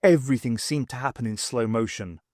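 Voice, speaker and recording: A man's voice: medium pitch, a little fast, a little mellow, no echo, clean studio sound. A man reading scripted dramatic narration for an audiobook.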